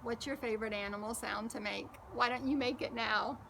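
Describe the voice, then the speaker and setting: A woman storyteller reading a picture book aloud, gently encouraging young children and asking them questions directly. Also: a little high, fairly even intonation, brisk, gravelly.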